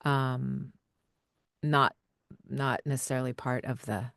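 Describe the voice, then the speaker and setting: A woman with a notably low voice hosts a live video-call Q&A as a voiceover coach. She talks in a relaxed, chatty way, full of little fillers and soft laughs. The sound is dry and close, with no room echo. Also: slow, gravelly. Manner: conversational and relaxed, with frequent fillers and light laughs.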